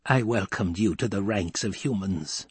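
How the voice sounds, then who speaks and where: A man's voice: lowish voice, normal speed, breathy edge, no room sound. A man voicing a scheming old woman, bitter and indignant, muttering and hissing in an audiobook.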